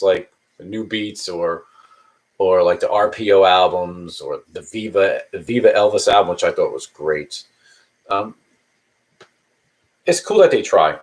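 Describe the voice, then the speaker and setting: A man in his early thirties chatting casually on a live stream, answering viewer questions in a rambling, hesitant way with many restarts. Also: on the low side. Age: 30s. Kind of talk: casual chat.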